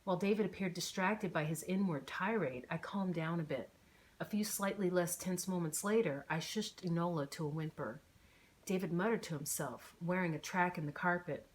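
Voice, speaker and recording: A woman's voice: lowish voice, normal speed, low-quality recording. A woman author reading scripted first-person narration from her novel as an audiobook.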